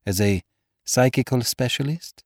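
A man's voice: medium pitch, measured pace, no echo, studio quality, pitched above the performer's own voice, natural voice. A man voicing a dramatic, anxious, pleading noblewoman in an audiobook. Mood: cool, guarded. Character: beautiful, cold yet frightened foreign noblewoman. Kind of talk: audiobook character acting.

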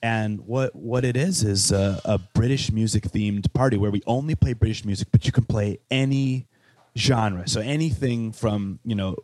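A man's voice: lowish voice, moderate pace, darkish tone, no room sound. A man from Chicago with a Chicago accent, a musician giving an interview, talking in a loose, rambling way full of fillers and restarts. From Chicago, with Welsh roots. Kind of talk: casual interview chat.

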